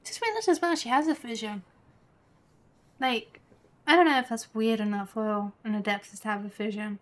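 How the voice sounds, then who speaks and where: A woman's voice: fairly high pitch, normal speed. A woman doing casual livestream commentary on a video game, chatty and giggly. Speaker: game streamer. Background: game music.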